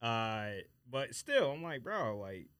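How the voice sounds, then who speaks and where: Bright-voiced man, dry close sound. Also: mid-range voice, big pitch swings, slow pace, smooth, no room sound. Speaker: podcast studio guest. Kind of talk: casual opinionated sneaker chat. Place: dry studio.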